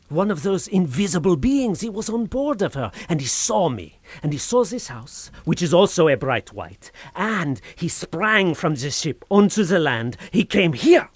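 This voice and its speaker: Horror radio play lead: tormented man, husky, highly animated, theatrically intense and obsessive. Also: dry recording. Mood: feverish conviction. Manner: intense, theatrical, halting then feverish. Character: tormented, obsessive Frenchman haunted by an invisible being. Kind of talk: audio horror drama acting.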